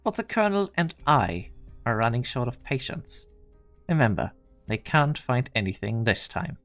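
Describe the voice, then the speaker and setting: A man's voice: medium pitch, big pitch swings, moderate pace, a bit bright, faint music behind. A man playing a haughty, impatient research director in a game fan dub, coldly commanding and curt. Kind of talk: scripted anime game dub acting.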